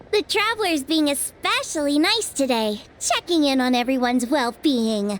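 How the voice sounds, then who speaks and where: Very high-pitched, polite young woman. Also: expressive, measured pace. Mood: amused. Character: friendly, polite, upbeat young woman in a fantasy game. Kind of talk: English video game voice acting. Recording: music under the voice.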